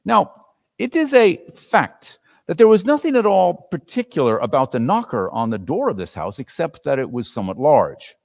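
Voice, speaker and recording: A man narrating a classic story as a dramatic reading, with expressive, theatrical delivery. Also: fairly high pitch, moderate pace, gravelly. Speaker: narrator and performer. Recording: reverberant hall.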